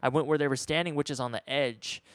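A man's voice: mid-range voice, steady tone, fast talker, fairly bright tone, dry recording, clean studio sound. A man in his twenties chatting casually, rambling and laid-back, swearing now and then. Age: 20s. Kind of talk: casual chat.

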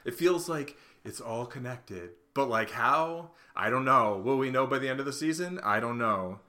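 A man, co-hosting a podcast in casual chat, hesitant and quick to laugh. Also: mid-range voice, animated, pushed and bright. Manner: hesitant, restarts and laughs often. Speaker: podcast co-host.

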